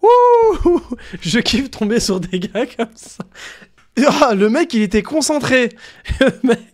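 A young man doing a casual live stream of crude prank calls, animated, slangy and prone to swearing. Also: extremely high pitch, slow. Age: young adult. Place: small room at home.

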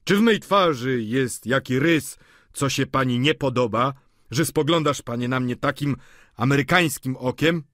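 Man voicing a loud, sly joker. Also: medium pitch, normal speed, no echo, clean studio sound, voice pushed up, natural voice. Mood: mocking. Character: sly jovial older man, loud and mocking.

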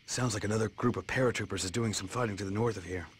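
An actor playing a plain-spoken, weary American glider pilot with a husky voice in a video game cutscene. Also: male voice, on the low side, racing. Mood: relieved, practical.

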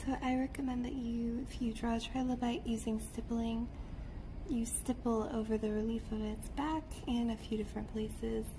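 A young woman speaking softly and without hurry, giving an ASMR-style drawing tutorial as she works. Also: a little high. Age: young adult. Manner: softly spoken, hushed, unhurried. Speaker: illustrator giving a drawing demonstration. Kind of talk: soft-spoken ASMR art tutorial. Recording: steady clear background noise.

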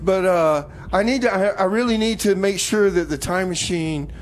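A man's voice: sits high, normal speed, slight background noise, close to an everyday voice. A man playing an exasperated, evasive time-machine tinkerer in comedy radio theater, grumbling and dismissive.

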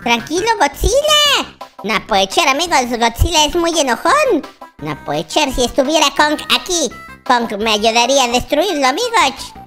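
A performer voicing an excitable, naive baby boy with a childlike squeaky voice, giving dramatic, exclamatory roleplay commentary for a children's gaming video. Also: normal speed, faint music behind, heavily acted. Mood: excited, chatty. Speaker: YouTube gamer playing a character.

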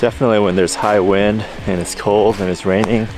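A man on a long-distance hike, talking to the camera outdoors about the trail, the weather and how his gear is holding up, in a relaxed, informative way. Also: lowish voice, a little fast. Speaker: thru-hiker. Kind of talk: hiking vlog narration. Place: outdoors on a mountain trail in rain and wind. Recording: music playing under the voice. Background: background music.

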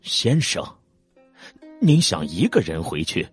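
Guy playing loyal worried retainer in historical audiobook, super low husky voice, earnest and deferential. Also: big pitch swings, slow pace, soft background music, heavily acted. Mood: worried pleading.

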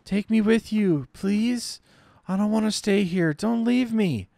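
A man voicing a dying young woman who talks like a small child in her fever, with a high, pleading voice. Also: a little slow, dark tone, dry recording. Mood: pleading, delirious.

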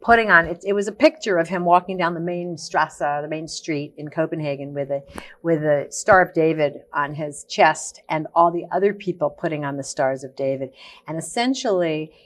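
A woman around sixty, a guest in a studio interview, telling her life story in long, searching sentences with many pauses and ums. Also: sits low. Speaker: guest, activist. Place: TV studio set.

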